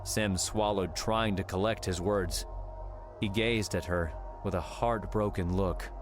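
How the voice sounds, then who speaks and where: A man's voice: deep voice, fairly even intonation, measured pace. A man reading an audiobook in a dramatic storytelling style. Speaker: audiobook narrator. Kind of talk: dramatic scripted narration. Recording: music under the voice. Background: music.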